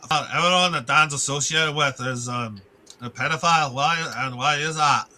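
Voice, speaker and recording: A man's voice: a little high, moderate pace, pushed and bright. A man ranting on a live stream, rambling and restarting his sentences, swearing and breaking off to greet viewers in the chat.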